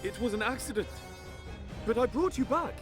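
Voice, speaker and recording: High-voiced, desperate pleading father. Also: expressive, normal speed, over music. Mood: pleading, remorseful. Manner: pleading, emotional, dramatic. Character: desperate guilt-ridden father pleading with his dead daughter. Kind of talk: video game cutscene acting.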